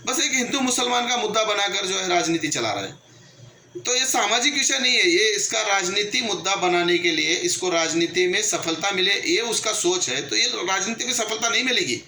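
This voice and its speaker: A man with a high-pitched, very piercing, forceful voice delivers political opinion to his channel's viewers. He argues emphatically and repeats his points. The room is clearly echoey. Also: animated, moderate pace. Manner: emphatic, repetitive, argumentative. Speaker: channel host and political commentator. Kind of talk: opinion commentary addressed to viewers. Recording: echoey room with background noise.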